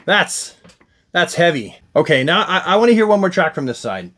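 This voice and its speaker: A man's voice: high-pitched, sing-song, normal speed, heavy background noise. A man in his forties casually reviewing records, thinking aloud with enthusiasm as the host. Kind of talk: casual unboxing and music review chat. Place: small indoor room full of collectibles. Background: rock music from a record player.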